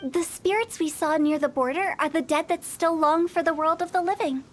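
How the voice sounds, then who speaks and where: A woman's voice: very high-pitched, normal speed. A woman voicing a playful, macabre young funeral director with a teasing, mischievous, giggly way of talking. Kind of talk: game cutscene voice acting.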